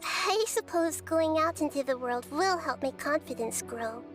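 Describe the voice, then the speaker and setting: A woman voicing a gentle, wise young girl deity in a video game, speaking in a measured, earnest and thoughtful way. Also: sits high, lively intonation. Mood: reflective, gentle. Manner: measured, articulate, reflective. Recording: game music behind the voice.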